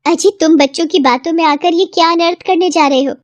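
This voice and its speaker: A woman's voice: very high voice, fairly even intonation, brisk, no echo, lo-fi sound, voice pushed up. A woman voicing a sensible, nagging village farmer's wife in a cartoon moral story. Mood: scolding.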